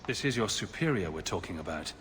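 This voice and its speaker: A man's voice: low-pitched, very animated, fast talker. An actor playing a male fighter in a fantasy video game, delivering dramatic dialogue. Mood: wry, questioning. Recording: loud ambient background noise.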